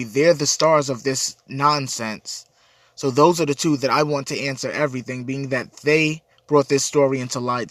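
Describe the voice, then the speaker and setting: A man hosts a casual livestream with a stuffy, congested voice, since he is under the weather with a cold. He talks earnestly and rambles, repeating words as he answers viewers. His pitch sits in an ordinary middle range, and the sound is close and dry with no room echo. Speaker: livestream host. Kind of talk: casual livestream chat reading viewer comments.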